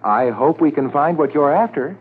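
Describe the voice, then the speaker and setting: An actor playing an obliging, talkative hotel manager in a 1950s radio drama, courteous and chatty with frequent hesitations. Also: male voice, a little high, lively intonation, moderate pace, loud music behind the voice, natural voice. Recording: tinny old radio with faint noise.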